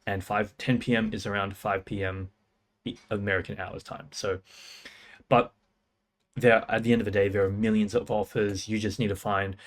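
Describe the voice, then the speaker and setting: A young man, his voice sitting a little low. He talks straight to camera in a casual, self-assured way, firing off short punchy phrases and slang. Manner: fast, punchy, confident delivery with slang. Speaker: music producer and sales coach. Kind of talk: casual talking-head advice.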